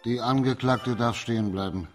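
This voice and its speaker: An actor playing a stern, shrewd magistrate in a German radio crime drama, authoritative and terse. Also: male voice, mid-range voice, moderate pace, faint music behind, lightly acted. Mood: stern, composed.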